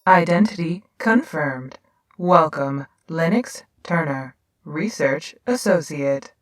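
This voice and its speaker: A woman voicing a polite, emotionless building computer, giving formal, measured automated announcements in a sci-fi audio drama. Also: low-pitched, expressive, distant mic. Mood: neutral, automated.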